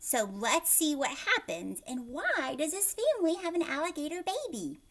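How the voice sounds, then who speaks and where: Animated high-voiced woman reading to children. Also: moderate pace. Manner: animated, questioning, emphatic. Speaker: host of children's read-aloud, teacher. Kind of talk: expressive storybook narration with asides to children.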